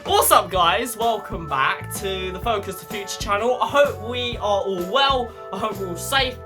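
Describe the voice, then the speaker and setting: A young man with a blocked, croaky voice from a head cold, talking bluntly and chattily as a self-help vlog host. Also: high voice, moderate pace, over music. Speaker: channel host.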